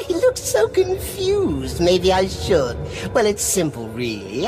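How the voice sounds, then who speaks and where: Swooping, deranged tea-party hypnotist. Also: female voice, fairly low pitch. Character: deranged, whimsical tea-party hypnotist villain, creepily possessive. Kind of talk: villain character acting. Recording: background music.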